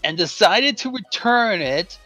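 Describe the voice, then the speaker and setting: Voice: medium pitch, lively intonation, unhurried, bright, forceful tone. A person of unclear sex chatting casually on a livestream in a blunt, sweary way. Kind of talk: casual chat. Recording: music playing behind the voice. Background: music.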